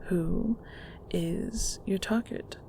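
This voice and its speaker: Actress as controlling matriarch of a magic clan: gravelly, unhurried, condescending endearments, often breathy, noisy ambience behind. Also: female voice, fairly low pitch, warm tone, heavily acted. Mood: interrogating, condescending. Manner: slow, deliberate, condescending, with terms of endearment. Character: stern, manipulative mother of a secret magical family. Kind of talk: scripted audio roleplay drama.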